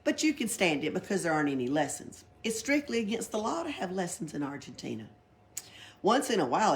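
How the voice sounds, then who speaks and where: A woman reading a children's story aloud as a library reader, with an amused, unpolished delivery. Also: fairly low pitch.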